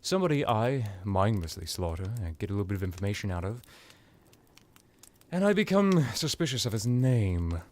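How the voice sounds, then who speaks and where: A man playing a smug, powerful vampire lord in an ASMR roleplay, talking in a halting, boastful, condescending and mock-polite way. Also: lowish voice, sing-song. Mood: smug, suspicious.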